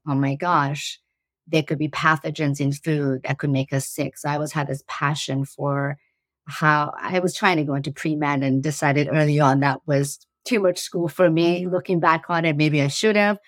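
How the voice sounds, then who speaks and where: A woman's voice: sits low, steady tone, moderate pace, dry recording. A woman in her forties from Iran with a slight Iranian accent, answering interview questions earnestly and laughing easily. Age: 40s. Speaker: guest, startup CEO.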